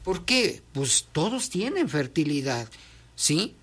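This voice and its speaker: Teacherly explainer, patient, reads then comments, checks in with the listener, mid-pitched voice. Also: big pitch swings, slow, fairly bright tone, husky. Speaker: guest explaining traditional midwifery. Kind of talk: conversational explanation, reading from a text and commenting.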